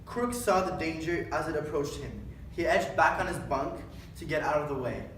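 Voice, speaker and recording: A man's voice: fairly high pitch, normal speed. A young man reading scripted story narration aloud in a readers' theatre. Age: young adult. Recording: very echoey room, distant mic, faint noise.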